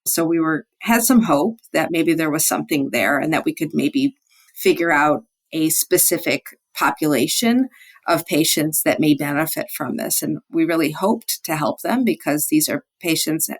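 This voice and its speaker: Woman, physician researcher, gravelly creak, explanatory interview delivery. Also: deep voice, moderate pace.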